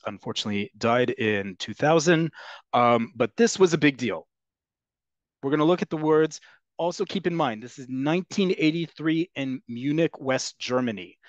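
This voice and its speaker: A man's voice: mid-range voice, no echo, muffled recording. Earnest man teaching on a call. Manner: earnest explaining with frequent ums. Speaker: Hebrew teacher. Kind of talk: online class lecture. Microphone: video call.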